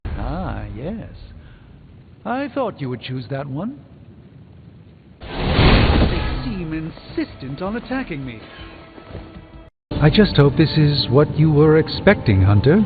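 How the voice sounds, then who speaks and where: A man's voice: fairly high pitch, sing-song, slow, long pauses, narrow, tinny audio, over music, exaggerated voice. A man voicing an erudite, self-assured middle-aged sorcerer in a video game, speaking in precise, wordy, pompous phrases. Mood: battle-ready, confident.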